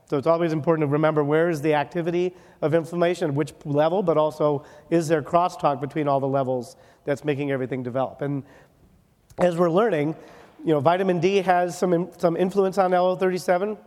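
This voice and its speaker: Male medical lecturer from Wisconsin, slightly high voice, joking and brisk, checks in with "okay?", long hall reverb. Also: fairly even intonation, darkish tone. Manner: joking, rapid, ends points with "okay?". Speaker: dermatologist lecturer. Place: conference hall.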